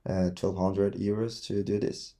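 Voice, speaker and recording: A man from Venezuela with a Venezuelan Spanish accent, a podcast guest speaking English haltingly with many restarts as he searches for words. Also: low voice, little pitch movement, creaky, warm tone. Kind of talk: casual interview chat.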